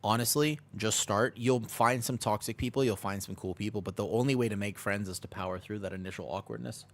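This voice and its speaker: A man's voice: on the low side, steady tone, fairly quick. An American man streaming a game, chatting casually and rambling as he answers chat and laughs easily. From United States.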